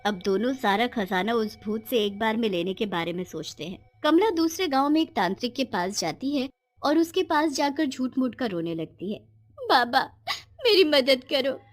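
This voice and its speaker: A woman tells a cartoon moral tale as its narrator. Her voice is fairly high, and the scripted narration runs over faint background music. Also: lively intonation, moderate pace.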